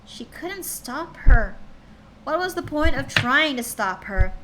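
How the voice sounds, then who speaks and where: Female streamer narrating a story live, casual and a bit stumbly, slightly high voice. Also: sing-song, slow pace, heavy background noise. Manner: chatty, stumbling over words while reading. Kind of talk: reading fan fiction aloud on stream.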